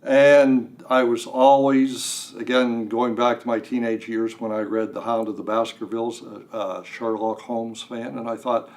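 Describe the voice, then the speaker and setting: An older man chatting as an interview guest, talking in a halting way with self-deprecating humor. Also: medium pitch, flat delivery, a little mellow. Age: 60s or older. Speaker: guest writer. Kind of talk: casual interview. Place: radio studio.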